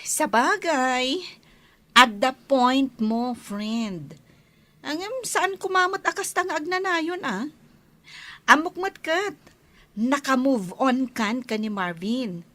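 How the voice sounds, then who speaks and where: A woman's voice: high-pitched, lively intonation, slow pace, pushed and bright, heavily acted. An actress with an Ilocano accent playing a chatty, blunt woman friend who gives advice in a radio drama. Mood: earnest advising.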